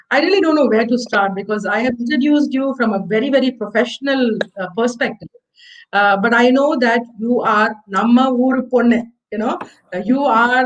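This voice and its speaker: A woman's voice: a little high, poor audio. A woman with an Indian accent, hosting an online fireside chat in a chatty, effusive, rambling way. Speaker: host introducing a guest speaker.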